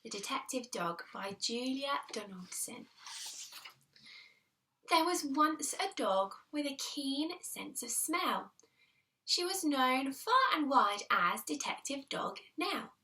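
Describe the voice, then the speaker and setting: A woman reading a children's picture book aloud in an expressive, deliberate storytelling manner, leaning on the rhymes. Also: sits high, recorded from a distance. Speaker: primary school teacher reading aloud.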